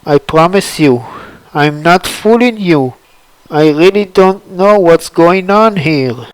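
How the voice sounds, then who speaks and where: A man's voice: a little high, expressive, takes it slowly, clear, resonant tone, dry recording, poor audio. A man voicing a confused young man who defends himself, bewildered by what he hears. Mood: defensive.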